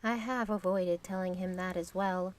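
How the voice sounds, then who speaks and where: A woman playing a composed, knowing divine being in an audio drama, with frank, measured and formal phrasing. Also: fairly low pitch, steady tone, lightly acted. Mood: explaining.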